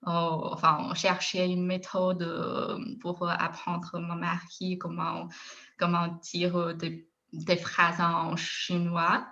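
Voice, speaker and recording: A woman with a Chinese accent and a fairly low, even voice speaks casually as a non-native speaker, a native of China. She feels her way through her sentences, searching for words and often giggling. The sound is a little dull and lo-fi. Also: takes it slowly. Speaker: interview guest, former engineer turned Chinese teacher. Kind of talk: casual personal storytelling.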